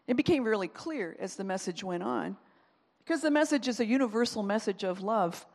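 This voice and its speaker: A woman pastor giving a sermon, talking in an animated, conversational way and acting out quotes as she goes. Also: medium pitch, a little fast, in a large hall.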